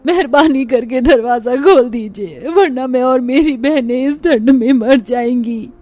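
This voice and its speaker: A woman's voice: high-pitched, unhurried, warm tone, no echo. A woman voicing an anxious young daughter of a poor family in a cartoon, plaintive and childlike. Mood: frightened pleading.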